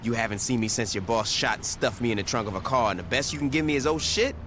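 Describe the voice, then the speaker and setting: A man's voice: medium pitch, fast, natural voice. An actor playing a tough, sarcastic male gang leader, terse and threatening, in a video game cutscene. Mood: sardonic, threatening. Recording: loud background noise.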